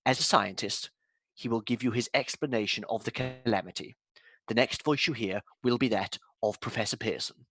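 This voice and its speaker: A man's voice: medium pitch, moderate pace, a little hiss or hum, lightly acted. A man playing a composed radio news announcer who reads bulletins formally, in a 1930s-style radio drama. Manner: formal, even bulletin delivery.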